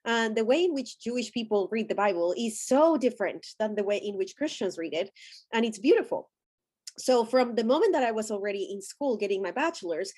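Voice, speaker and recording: A woman's voice: medium pitch, a little mellow, breathy edge. A woman from Colombia with a Colombian Spanish accent, a native Spanish speaker, talking earnestly as a podcast guest and explaining ideas with quoted inner voices. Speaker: podcast guest, ordained minister. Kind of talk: conversational interview.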